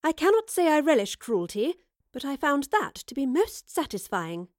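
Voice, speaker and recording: Woman playing a high-pitched genteel, spirited heroine. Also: sing-song, moderate pace, no echo, clean studio sound. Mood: prim satisfaction. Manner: formal, polite, emphatic. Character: genteel, spirited Victorian-style heroine, brave and determined.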